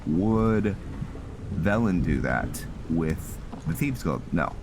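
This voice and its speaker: A man's voice: sits low, lively intonation, slow, music in the background. A man giving casual, rambling gameplay commentary, thinking aloud in a hesitant, musing way as he roleplays. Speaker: gaming host, roleplayer. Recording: very noisy, game ambience behind.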